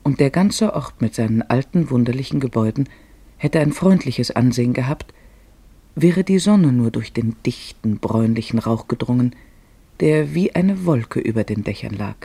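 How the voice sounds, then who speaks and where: Guy narrating a literary radio play, mellow husky voice, dry close sound. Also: mid-range voice, expressive, moderate pace, dry recording.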